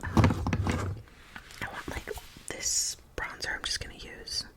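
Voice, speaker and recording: A young woman whispering a chatty, rambling makeup tutorial into a close mic, drifting into tangents and softly repeating words. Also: slow pace. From United States. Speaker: ASMR makeup vlogger.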